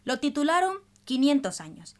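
A woman's voice: sits high, very animated, normal speed. A woman hosting a radio programme, explaining things in a didactic, scripted way. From El Salvador. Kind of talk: scripted radio presentation in dialogue.

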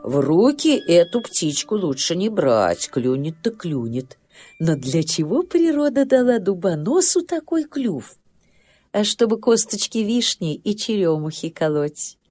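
Low, expressive woman storyteller over music. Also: measured pace. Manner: expressive storyteller, addressing listeners. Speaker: narrator. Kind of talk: scripted children's storytelling narration.

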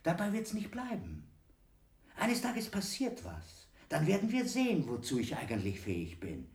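A man's voice: high-pitched, huge pitch swings, normal speed, gravelly, darkish tone, full character voice. An actor playing a brooding, volatile man who boasts of violence in a German crime radio drama. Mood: ominous. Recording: echoey, roomy sound.

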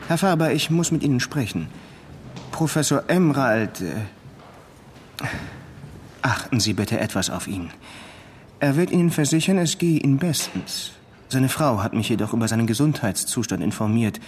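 Man as a formal colleague with lively intonation. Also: mid-range voice, normal speed, pauses often, slight background noise, natural voice. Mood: concerned, discreet. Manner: formal, hesitant, earnest. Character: formal, concerned male university colleague, discreet and polite. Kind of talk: naturalistic radio drama dialogue.

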